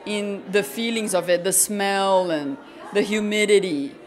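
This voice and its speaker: A woman photographer from Brazil talks through her work in a bright gallery. She speaks thoughtfully and often stops to search for the right word. Her voice is picked up on a handheld mic that the interviewer holds out to her. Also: medium pitch, some chatter behind. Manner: thoughtful, searching for words with pauses. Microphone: handheld mic held by interviewer.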